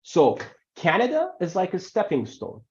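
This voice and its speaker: A man whose first language is Farsi, working as an immigration lawyer, gives animated webinar answers and often repeats words for emphasis. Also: high-pitched, moderate pace. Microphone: video call. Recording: muffled video call audio.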